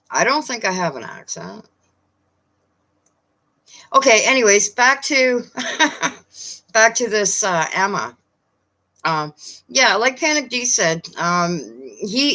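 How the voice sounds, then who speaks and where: A woman with a Newfoundland accent chatting casually with viewers on a live stream, often pausing with fillers. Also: mid-range voice, sharp tone. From Newfoundland, Canada. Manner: chatty, hesitant, many fillers.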